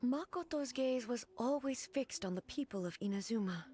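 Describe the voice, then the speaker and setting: A woman's voice: fairly high pitch, lively intonation, dark tone, close to an everyday voice. A woman voicing a solemn regal goddess ruler. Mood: reflective. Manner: measured, formal, grave. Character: solemn regal female god ruler, composed. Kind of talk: game voice acting. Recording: faint music behind.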